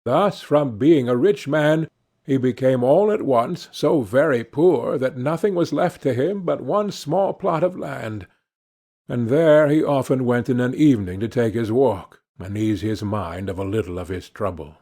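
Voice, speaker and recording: A man's voice: medium pitch, measured pace, mellow. A man narrating fairy tales from a script as an audiobook reader.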